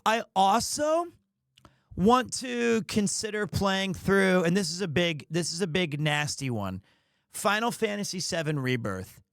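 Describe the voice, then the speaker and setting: A man's voice: high voice, lively intonation, measured pace, fairly bright tone, no room sound, studio quality. A man hosting a livestream, chatting casually in a rambling, opinionated and playfully defensive way. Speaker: live streamer, host of the show. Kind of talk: casual livestream chat with viewers.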